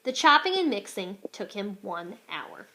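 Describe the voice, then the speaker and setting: A woman's voice: medium pitch, very animated, measured pace, pushed and bright, low-quality recording. A woman reading a children's storybook aloud expressively, like a teacher.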